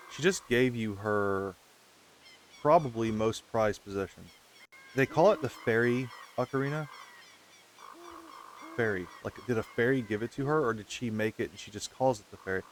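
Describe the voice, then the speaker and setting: A man's voice: medium pitch, a little hiss or hum. A man casually chatting as he plays through a video game, rambling with lots of fillers and talking straight to his viewers. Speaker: gaming video host. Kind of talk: casual let's-play commentary. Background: game music.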